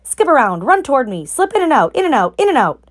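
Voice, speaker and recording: A woman voicing a bossy, excitable goose from a storybook, calling out urgent, repeated orders. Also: high-pitched, quick pace, voice pushed up. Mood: commanding. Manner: urgent, exclamatory, repeats each command.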